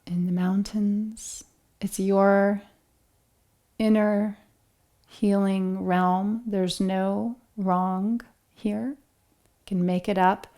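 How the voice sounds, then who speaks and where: A woman leading a guided relaxation meditation as an instructor, speaking in a gentle, soothing, unhurried manner with long pauses. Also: medium pitch, flat delivery.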